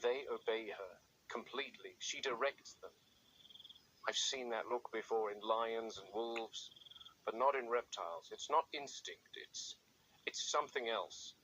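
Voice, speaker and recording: A man playing a grim, watchful game warden, narrating a found-footage field log in a measured, grave and deliberate way. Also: mid-range voice, bright, forceful tone, low-quality recording. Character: grim, watchful male game warden logging dangerous captive raptors. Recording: faint background noise.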